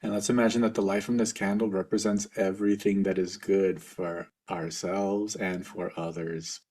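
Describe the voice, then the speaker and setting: A man leading a guided meditation for a group as facilitator, speaking informally in a gentle, reassuring, unhurried way. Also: sits low.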